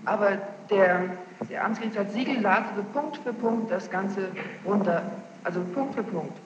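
A woman's voice: medium pitch, moderate pace. A young woman giving courtroom testimony as a witness, defensive and insistent, often repeating herself. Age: young adult. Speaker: witness under questioning. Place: large reverberant courtroom. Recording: muffled, noisy archival courtroom tape, echoing hall.

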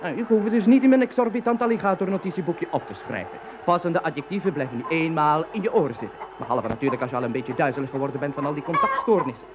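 A man's voice: a little high, animated, fast talker, few pauses, close to an everyday voice. An actor playing a confused, irritable man who rambles and swings into pompous outbursts, in a radio play. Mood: ranting. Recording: noisy old radio play recording.

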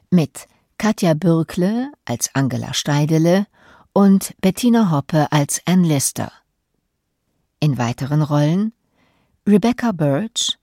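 A woman's voice: sits low, dark tone, no room sound, studio quality. A woman announcer reading scripted radio drama credits.

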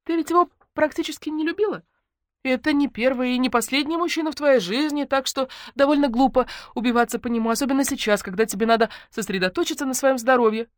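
A woman's voice: high-pitched, fast talker, no room sound, clean studio sound, voice pushed up, close to an everyday voice. A woman voicing a melodramatic young woman in an audiobook, plaintive, sing-song and sugary as she plays the tactless comforter. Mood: sugary, consoling. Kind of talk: audiobook character dialogue.

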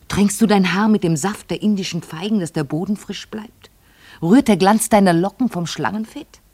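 A woman's voice: on the low side, darkish tone. An actress playing a vain, obsessive lady fearful of ageing, speaking theatrically and intensely in a literary radio play. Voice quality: husky, breathy, creaky. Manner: theatrical, intense, addressing a servant.

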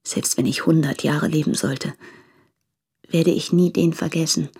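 Husky, regretful woman letter writer. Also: low-pitched, steady tone, measured pace, warm tone, exaggerated voice. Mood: regretful, tender. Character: tender, regretful woman writing to her former lover. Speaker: radio drama actor. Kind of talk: intimate literary German radio play monologue.

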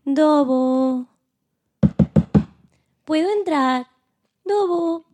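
A woman's voice: high voice, very slow, darkish tone. A woman voicing a tiny, innocent, affectionate little lamb with babyish speech in a children's radio play. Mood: pleading.

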